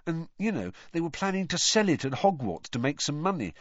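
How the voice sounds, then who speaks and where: A man voicing a sarcastic, grumbling teenage boy in an audiobook, his voice only a little higher than his own. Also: big pitch swings, brisk, no echo. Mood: explaining. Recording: dry, slightly dull studio sound.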